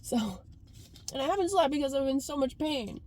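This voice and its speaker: A woman's voice: high voice, lively intonation, moderate pace, a little mellow, slight background noise. A woman from Canada with a congested, stuffed-up voice, talking in a casual, rambling car vlog style full of "like". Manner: informal, rambling, frequent "like". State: congested from a cold. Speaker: vlogger. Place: sitting inside a car.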